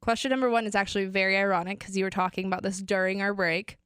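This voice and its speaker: A woman's voice: mid-range voice, level tone, fairly bright tone, clean tone, no echo, studio quality. Sick American woman co-hosting sports talk. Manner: chatty, quick, asks the quiz questions. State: sick, losing her voice. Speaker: co-host. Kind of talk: casual sports talk podcast.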